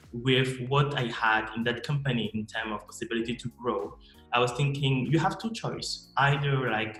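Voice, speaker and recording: A man in his thirties gives interview answers in a steady, explanatory way, often restarting his sentences. His tinged carries through an echoey room. Faint music sits in the background. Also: medium pitch. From Cameroon. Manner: measured, explanatory, frequent restarts. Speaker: MBA student guest.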